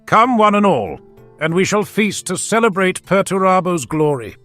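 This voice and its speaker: A man's voice: sits high, sing-song, moderate pace, a bit bright, soft background music, voice pushed up. A man voicing a jovial, scheming ruler who declaims grandly to his court in a fantasy audiobook. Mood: jubilant, grand. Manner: declamatory, theatrical.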